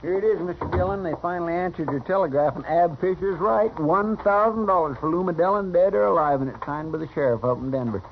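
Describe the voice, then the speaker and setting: An actor playing an eager, respectful, plain-spoken marshal's helper in a radio western. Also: male voice, high-pitched, fast talker, hardly any pauses. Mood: eager report. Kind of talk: old-time radio western acting. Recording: dull, noisy vintage radio recording.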